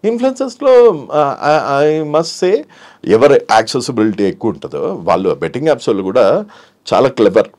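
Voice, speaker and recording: A man's voice: mid-range voice, big pitch swings, normal speed, a little mellow. A man gives his opinions as an interview guest in a Telangana Telugu accent. He has a mid-range voice and talks casually but emphatically, circling back to his points and slipping into English phrases.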